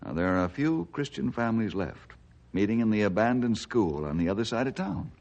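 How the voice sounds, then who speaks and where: An actor playing an earnest, righteous forest ranger hero with an authoritative radio drama delivery. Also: male voice, lowish voice, lively intonation, brisk, a little mellow, lo-fi sound. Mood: firm, earnest. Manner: authoritative, declamatory. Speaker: lead ranger of a radio serial. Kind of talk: radio adventure drama acting.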